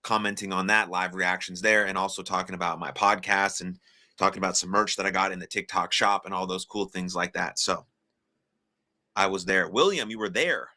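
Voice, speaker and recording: A man's voice: sits low, steady tone, moderate pace, bright, forceful tone. An American man hosting a live stream, chatting casually with viewers and reading their comments aloud, friendly and encouraging with lots of filler words. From United States. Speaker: livestream host.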